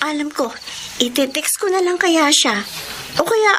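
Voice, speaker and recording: A woman's voice: sits high, expressive, unhurried. An actress playing a curious young daughter who worries about her father and wants to spy on him. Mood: eager. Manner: eager, worried. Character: curious young daughter Ada, amateur sleuth. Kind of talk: classic radio drama acting. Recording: noisy old radio drama recording.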